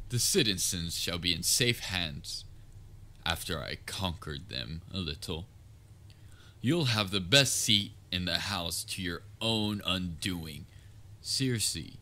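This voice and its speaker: A very low-voiced, theatrical supervillain played by a man with a crisp, forceful edge. He is pompous and dryly sarcastic, a grand nemesis from a comedic audio roleplay who secretly cares about his rival. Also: exaggerated voice. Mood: gloating, grandiose. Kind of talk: scripted audio roleplay, comedic villain monologue.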